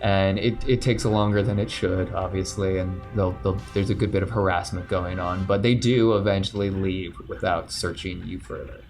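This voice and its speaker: A man's voice: low voice, steady tone, moderate pace, hardly any pauses, darkish tone, soft background music. A man narrating as game master of a tabletop game, laid-back and rambling.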